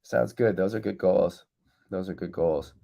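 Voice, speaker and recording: Low gravelly man, congested. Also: a little slow, dark tone. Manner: reads out viewer comments and answers them directly. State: congested, recovering from losing his voice. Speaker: windsurf coach hosting a live stream. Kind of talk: casual live-stream chat.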